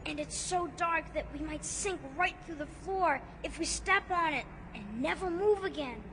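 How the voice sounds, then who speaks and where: A woman's voice: extremely high pitch, normal speed, noisy background. A woman voicing a wide-eyed, nervous young boy in a dramatized audiobook, with an uneasy, boyish delivery.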